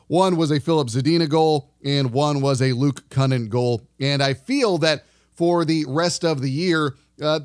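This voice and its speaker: A man hosting a sports podcast, talking through a monologue in a conversational, emphatic way. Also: fairly high pitch. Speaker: podcast host.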